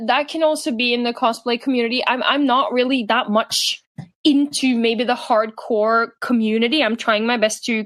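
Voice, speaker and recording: A woman's voice: a little high, a bit bright, no echo. Chatty Norwegian woman. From Norway. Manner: chatty, with frequent ums and self-restarts. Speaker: guest cosplayer. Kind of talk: casual podcast chat.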